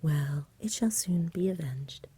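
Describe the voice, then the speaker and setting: A woman's voice: deep voice, unhurried, breathy edge, dry recording, exaggerated voice. A woman voicing a kindly, solemn, chivalrous male saint in a whispered ASMR storybook reading. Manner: solemn, old-fashioned, gentle.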